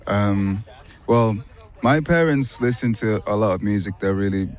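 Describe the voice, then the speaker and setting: A young man from Finland, a musician guest answering interview questions in a park in a relaxed, thoughtful way with hesitant restarts. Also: on the low side, measured pace. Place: city park outdoors.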